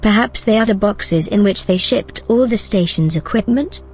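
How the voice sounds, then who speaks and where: Audio drama casting: actress for female AI helper, medium pitch, composed, matter-of-fact, formal, music behind. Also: normal speed, husky, close to an everyday voice. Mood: composed, helpful. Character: calm, literal, helpful female artificial intelligence assistant.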